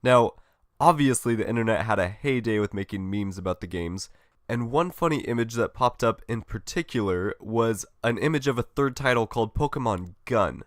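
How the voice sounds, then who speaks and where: A man's voice: medium pitch, animated, moderate pace, no echo, clean studio sound. Upbeat man hosting a gaming podcast. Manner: upbeat, friendly, reads from a script. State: recovering from a cold. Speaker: podcast host. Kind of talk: solo gaming podcast monologue.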